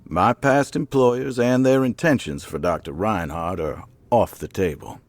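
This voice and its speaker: An actor playing a cool, menacing hired gun in a noir video game, sardonic and controlled. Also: male voice, fairly low pitch, unhurried, no echo. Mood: stern, taunting.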